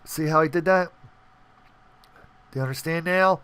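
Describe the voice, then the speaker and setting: A man's voice: a little high, moderate pace, fairly bright tone, clean tone, no echo. A man presenting an informal programming tutorial, explaining step by step and checking in with the listener. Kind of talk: informal screencast tutorial walkthrough.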